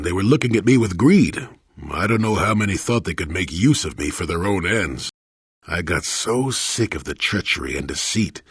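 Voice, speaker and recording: A male actor playing a crusty, wry old hermit and former Jedi in a video game, with a gravelly, husky voice and a grumbling storyteller's manner. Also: deep voice, a bit bright, dry recording, clean studio sound, full character voice. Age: older. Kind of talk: video game character dialogue.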